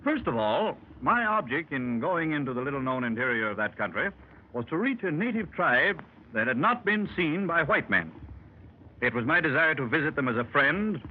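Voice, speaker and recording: An actor playing a learned middle-aged explorer, telling his tale to fellow club members in a formal, old-fashioned way, in 1930s radio drama style. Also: on the high side. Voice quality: formal, clubby storyteller. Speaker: explorer recounting his expedition. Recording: muffled hissy 1930s radio transcription.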